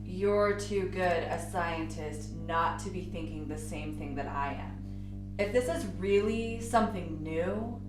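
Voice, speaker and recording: A woman's voice: on the low side, slow, recorded from a distance. A woman playing an inquisitive, teasing female scientist in a radio play, speaking in a wry, questioning way. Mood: curious, prodding. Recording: echoey room, off mic. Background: music.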